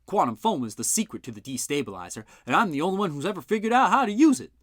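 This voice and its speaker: A man voicing a gruff, blustering older male inventor who talks loud and boastful. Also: on the high side, sing-song, quick pace, no room sound, studio quality, pitched above the performer's own voice.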